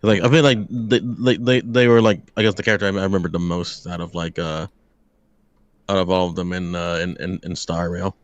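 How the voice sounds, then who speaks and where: Man, quick speech, lowish pitch, stammering word repeats, casual reaction chat, dry sound. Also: steady tone, dry recording, dull audio. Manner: stammering, repeats words often. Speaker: guest co-commentator.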